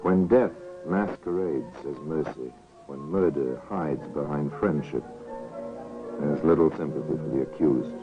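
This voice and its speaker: Grave crime-story narrator, extremely low voice, portentous, tinny old radio sound with faint music. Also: male voice, measured pace. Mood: grave, ominous. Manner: solemn, portentous. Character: grave, ominous male narrator of crime stories.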